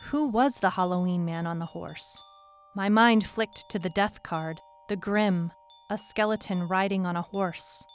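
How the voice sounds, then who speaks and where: A woman playing a tough, weary, skeptical detective who narrates her case in a measured, dramatic, reflective way. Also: medium pitch, close to an everyday voice. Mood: grave, suspenseful. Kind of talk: scripted first-person story narration. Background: music bed under the voice.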